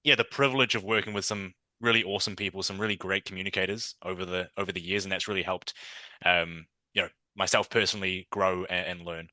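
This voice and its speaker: A man's voice: low-pitched, fairly even intonation, quick pace, pushed and bright, no echo. A man with a New Zealand accent, answering questions as a guest on an interview podcast in a thoughtful, conversational way. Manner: thoughtful, conversational, frequent fillers and restarts.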